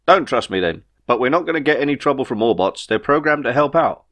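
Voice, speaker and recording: A man's voice: mid-range voice, lively intonation, fast talker, a bit bright, pitched above the performer's own voice. A man voicing an easygoing, know-it-all spaceship pilot in an audiobook, chatty and reassuring. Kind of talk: audiobook character dialogue.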